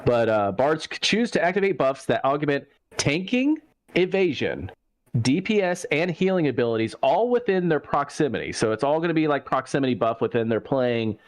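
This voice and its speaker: A man with a fairly high voice and a Southern US accent talks casually about games. He rambles, stops and restarts, and jokes along as he goes. The sound is very dry, with no room on it. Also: big pitch swings, moderate pace, dry recording. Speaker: gaming show co-host. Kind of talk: casual gaming chat.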